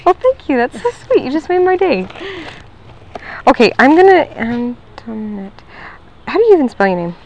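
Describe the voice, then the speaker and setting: A woman's voice: sits high, big pitch swings, moderate pace, long pauses, muffled recording, a little hiss or hum. A woman going door to door selling pest control, talking in a friendly, upbeat and talkative way at a front door outside. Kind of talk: sales pitch at a front door. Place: outdoors at a house door.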